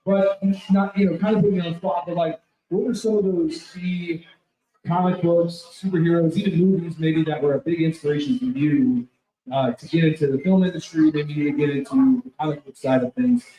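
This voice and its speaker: A man's voice: a little high, brisk, warm tone, distant mic. A man co-hosting a podcast, asking a guest a long, winding question about what he loved as a kid. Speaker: podcast co-host. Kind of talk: casual interview. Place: convention hall. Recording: echoey, slightly dull hall recording.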